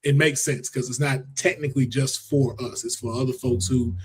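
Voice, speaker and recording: A man hosting a casual pop culture discussion in a colloquial, emphatic, animated way. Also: medium pitch, normal speed, breathy edge. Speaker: podcast host.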